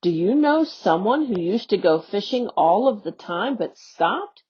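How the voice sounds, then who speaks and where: A woman on an education staff reading a youth newsletter aloud in a slow, expressive storybook manner. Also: medium pitch, slightly rough. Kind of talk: read-aloud for young learners. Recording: dull lo-fi audio.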